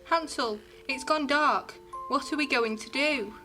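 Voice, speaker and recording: Woman as a high, bright wide-eyed young girl. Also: a little slow, faint music behind. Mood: worried. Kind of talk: fairy-tale radio drama acting.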